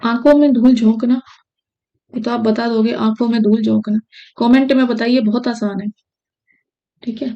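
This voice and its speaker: A woman from India teaches an exam-prep grammar lesson, her voice sitting a little high. She repeats each key phrase and keeps checking in with her viewers as she works through the answers. She speaks close to the mic in a solo teaching video. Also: steady tone, moderate pace, long pauses, highs cut off.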